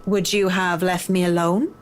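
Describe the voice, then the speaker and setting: A woman's voice: fairly low pitch, little pitch movement, moderate pace, close to an everyday voice. An actress voicing a blunt, sardonic, worldly career woman in a visual novel game. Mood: stern, advising.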